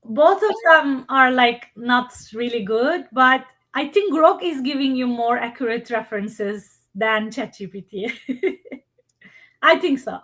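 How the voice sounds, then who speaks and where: A woman presenting a workshop and answering questions over a video call. She speaks haltingly, searching for words in her second language. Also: a little high, fairly bright tone.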